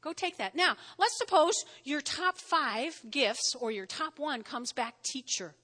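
Woman pastor, New Jersey accent, high bright voice, sermon in a reverberant church hall. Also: measured pace. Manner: lively, spells words out, frequent "all right?" check-ins. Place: church sanctuary.

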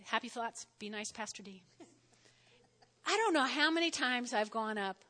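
A woman pastor with a New Jersey accent, preaching a sermon in a lively, conversational way and often checking in with "all right?". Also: a little high, normal speed. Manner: lively, spells words out, frequent "all right?" check-ins. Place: church sanctuary. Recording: long hall reverb.

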